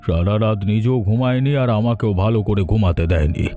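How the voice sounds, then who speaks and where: A man acts out a middle-aged father in a horror cartoon. His voice is low and dark, with a weary, exasperated, dismissive delivery. Faint music plays behind him. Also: brisk, natural voice.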